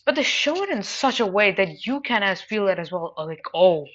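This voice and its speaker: A woman giving an informal spoken review in a halting, emphatic way, repeating phrases as she goes. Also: mid-range voice, big pitch swings, a bit bright, highs cut off. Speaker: anime reviewer.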